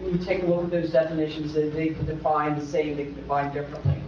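Board member, male, fairly high voice, halting; distant mic in a very echoey hall with noticeable hiss. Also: monotone. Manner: halting, many restarts. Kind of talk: questioning at a public meeting. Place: large township meeting room. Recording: echoey room, distant and muffled.

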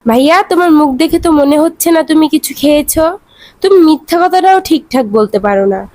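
A gentle young little sister from a cartoon, voiced by a woman, with a high voice and quick delivery. She sounds caring and solicitous. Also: expressive, no room sound. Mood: concerned. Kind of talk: cartoon voice acting.

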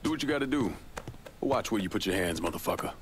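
An actor playing a tough, terse boxer with a cool, curt, quietly defiant manner. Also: male voice, on the low side, expressive, quick pace, noisy background, close to an everyday voice. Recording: loud music behind.

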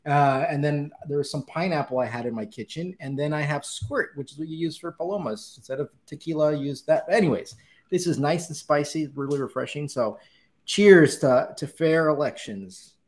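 A man from Colombia hosting a casual chat over a video call, upbeat and animated. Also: fairly high pitch, normal speed. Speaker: podcast host. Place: home room indoors. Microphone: video call webcam.

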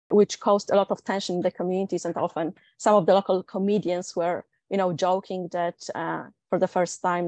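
Middle-aged Polish woman, panel guest; low, measured, dry close recording. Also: dark tone, no room sound. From Poland. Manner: measured and reflective, many filler uhs. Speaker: panel speaker. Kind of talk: panel discussion.

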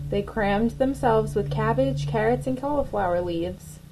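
A woman storyteller reading a children's picture book aloud in an expressive, clearly phrased way. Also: on the high side, measured pace. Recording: noticeable background noise.

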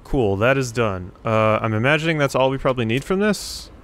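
A man's voice: medium pitch, lively intonation, brisk, fairly bright tone, slight background noise. A man giving casual game commentary, musing to himself as he works things out. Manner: thinking aloud, musing to himself. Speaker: let's play host.

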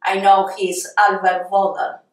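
A woman's voice: mid-range voice, level tone, measured pace, lightly acted. An Argentine woman in her late thirties with a Spanish accent, acting a stern, threatening agent in a film audition. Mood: cold, impatient. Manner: curt, commanding, threatening. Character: stern armed female Israeli agent interrogating a suspect. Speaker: actress auditioning. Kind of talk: acted film audition scene. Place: small bare indoor room. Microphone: camera a few feet away. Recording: echoey room, distant microphone.

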